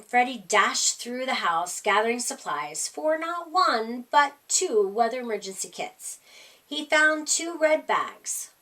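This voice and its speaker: A woman reading a children's picture book aloud as a storyteller, with animated, emphatic delivery. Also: fairly high pitch, far from the mic. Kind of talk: expressive read-aloud storytime narration.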